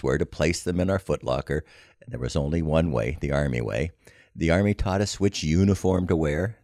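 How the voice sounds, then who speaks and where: An older American man telling a personal story in a scripted, wry, folksy and measured way. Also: rumbling low, a little mellow, dry recording, studio quality. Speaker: podcast storyteller.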